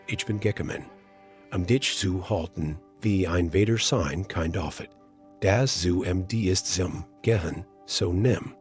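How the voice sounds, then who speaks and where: Low breathy guy, super slow comforting devotional talk, soft music behind. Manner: soft, consoling, unhurried. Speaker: devotional speaker voicing God. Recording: faint music underneath.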